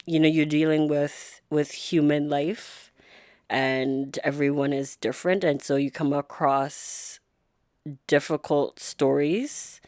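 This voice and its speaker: A woman being interviewed as a guest, a nurse, speaking in a soft-spoken, thoughtful way with frequent pauses and fillers. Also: sits low, steady tone, dry recording, clean studio sound. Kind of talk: reflective interview conversation.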